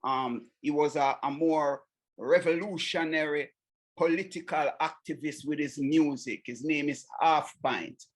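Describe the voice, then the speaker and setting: A Jamaican man, a singer, answering interview questions in a relaxed way and often adding "you know what I mean". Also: a little high, a little slow. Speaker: singer and songwriter guest.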